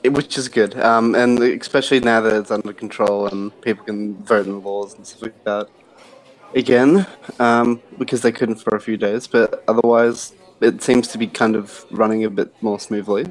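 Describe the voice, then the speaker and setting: A man's voice: fairly low pitch, steady tone, moderate pace, rough recording. A man talking on a live stream in an easygoing, joking way, with frequent "um"s. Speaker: community team member. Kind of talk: casual live-stream chat.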